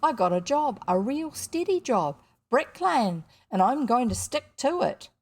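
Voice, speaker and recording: A woman's voice: on the high side, sing-song, measured pace, a little mellow, voice pushed up, heavily acted. A woman voicing a boisterous, jovial working-class father in a children's storybook, colloquial and full of exclamations. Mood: warm, earnest. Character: boisterous, hearty working-class father just back home. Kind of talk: children's storybook character reading.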